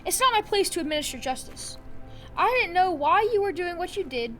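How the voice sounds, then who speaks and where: High-voiced, earnest young hero played by a woman. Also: lively intonation, a little fast, bright, forceful tone, soft background music, full character voice. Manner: earnest, reflective. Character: principled, gentle young hero, accepting of loss. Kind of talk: scripted audio drama acting.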